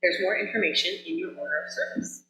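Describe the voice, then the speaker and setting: A woman minister giving a measured, deliberate welcome and announcements at a church service, recorded in an echoing sanctuary. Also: fairly low pitch, pushed and bright, slight background noise.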